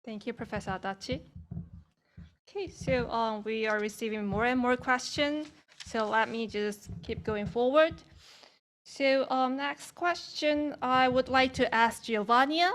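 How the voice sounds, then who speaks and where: A woman runs an online Q&A. Her voice sits a little high, and she is brisk and organized, steering the panel from one question to the next. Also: fairly bright tone, a little hiss or hum. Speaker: moderator. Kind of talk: moderating a Q&A.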